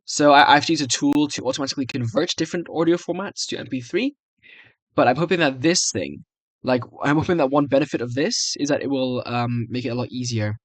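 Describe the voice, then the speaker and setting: A man's voice: mid-range voice, quick pace, no echo. Young man muttering through a casual try-out, dry sound. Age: young adult. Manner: hesitant, rambling, mutters reactions to himself. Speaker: video creator. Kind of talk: casual screen-recorded software try-out commentary. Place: small room indoors.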